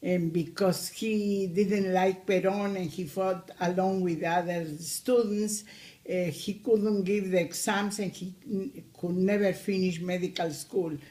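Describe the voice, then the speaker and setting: A woman in her eighties, originally from Argentina, speaking English with an Argentine Spanish accent as she recalls her life in a family interview, with frequent pauses and hesitations. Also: on the low side, steady tone, dark tone. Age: 80s. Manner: slow, hesitant, many pauses. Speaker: grandmother interviewee.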